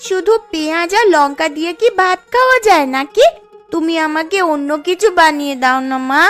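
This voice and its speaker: A whiny little village girl from a cartoon, acted by a woman, pleading in a very high, childish voice. She sounds hungry and needy, with clear music playing behind her. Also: lively intonation, fairly quick, a bit bright. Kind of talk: Bengali cartoon story acting. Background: background music.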